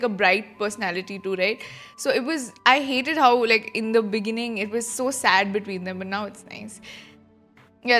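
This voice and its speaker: A woman's voice: a little high, fairly bright tone. A woman streaming a game with casual, gushing, rambling commentary over game music.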